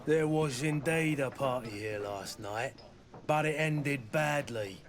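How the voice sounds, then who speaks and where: A man's voice: mid-range voice, takes it slowly, crowd noise. A man playing an aggrieved, blunt tavern keeper in scripted video game dialogue, with an indignant way of talking. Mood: gruffly civil.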